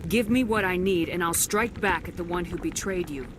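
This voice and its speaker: A woman acting as a resolute military commander in a video game. Her voice is slightly low, and she talks directly with no fuss. There is clear background noise around her. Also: normal speed, lightly acted. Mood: firm, resolute. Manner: direct, no-nonsense. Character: firm, decisive female military commander.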